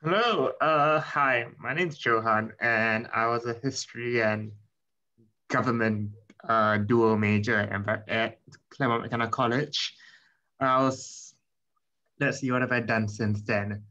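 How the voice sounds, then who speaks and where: A man's voice: medium pitch, steady tone, takes it slowly, sharp tone. A man in his twenties from Singapore, introducing himself on a video call, thinking aloud. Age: 20s. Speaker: panelist. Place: room indoors. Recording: slightly dull call audio.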